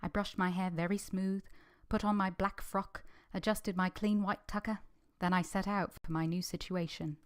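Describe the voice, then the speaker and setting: A woman playing an earnest, modest young governess in a vintage-style radio drama, measured, polite and literary in delivery. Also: medium pitch, steady tone, natural voice. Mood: reflective. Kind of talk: first-person narration in vintage radio drama.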